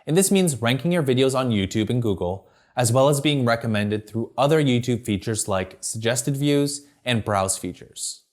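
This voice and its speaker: A man presenting a scripted video marketing tutorial from a teleprompter in a clear, structured, upbeat way. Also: medium pitch, expressive, moderate pace, few pauses.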